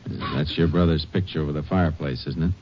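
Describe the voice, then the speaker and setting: An actor playing a calm, methodical Texas Ranger who questions and narrates tersely in old radio drama style. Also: male voice, a bass voice, fast, a little hiss or hum. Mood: probing. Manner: terse, probing, matter-of-fact. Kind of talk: 1940s-50s radio drama acting and narration. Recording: muffled old-time radio recording.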